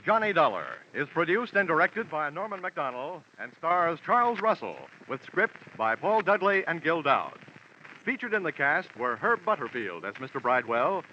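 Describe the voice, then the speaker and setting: A man's voice: fairly high pitch, slight background noise. An American man announcing in a scripted 1940s network radio style. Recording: muffled vintage radio broadcast with music.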